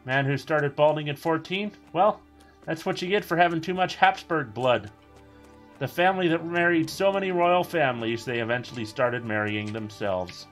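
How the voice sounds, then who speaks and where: Guy rambling over his game stream, booming voice, faint music behind. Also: moderate pace. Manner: chatty, rambling asides while playing. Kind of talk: casual gameplay commentary.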